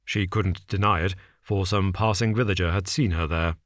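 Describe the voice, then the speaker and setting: A man voicing a shrewd detective who speaks slowly and deliberately, dry and understated. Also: steady tone, a bit bright, dry recording. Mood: measured. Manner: slow, deliberate, low and understated. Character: cool, shrewd male detective, measured and dry.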